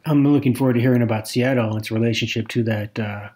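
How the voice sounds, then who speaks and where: A man's voice: mid-range voice, fairly even intonation, fast, mellow. A man with a mid-range voice co-hosts a podcast. He explains his points fluently in a conversational tone, dropping in the occasional filler. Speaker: podcast co-host.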